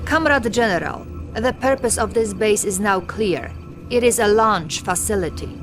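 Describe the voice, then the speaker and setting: A woman plays a Soviet military officer in a game briefing. The voice sits at an average pitch, composed and formal, with clear music behind it. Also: moderate pace, natural voice. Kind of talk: video game mission briefing.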